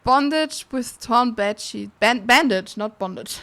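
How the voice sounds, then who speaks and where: Slow-paced, higher-voiced woman streamer reading aloud. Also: expressive, a bit bright. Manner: giggly, breaking into laughter while reading. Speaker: live streamer playing a video game. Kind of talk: reading game text aloud on a livestream.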